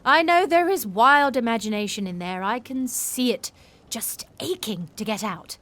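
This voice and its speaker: A woman's voice: on the high side, moderate pace, fairly bright tone, natural voice. A woman acting a free-spirited, dreamy young sailor in a Regency-era audio drama, poetic and full of wonder. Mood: gentle, reflective. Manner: wonder-filled, poetic, effusive. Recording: music bed behind the voice.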